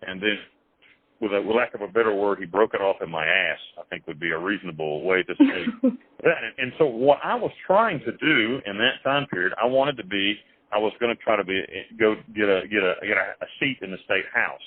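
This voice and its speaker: A man being interviewed over the phone, telling his life story in a rambling, halting way with many restarts and some blunt, crude wording. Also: fairly low pitch, lively intonation, slightly rough. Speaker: interview guest. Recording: tinny phone line.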